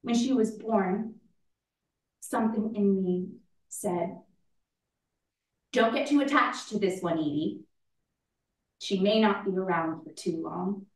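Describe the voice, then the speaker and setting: A woman playing a grieving, reflective mother in a staged play reading, speaking deliberately with pauses. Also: mid-range voice, lightly acted. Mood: tender, reflective. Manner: slow, deliberate, with long pauses. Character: grieving mother eulogizing her daughter, halting and reflective. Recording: roomy, distant mic in echoey space.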